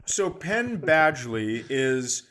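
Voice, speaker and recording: A man's voice: mid-range voice, very animated, drawn-out words, fairly bright tone. Wry man chatting on a comedy podcast. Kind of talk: casual comic chat.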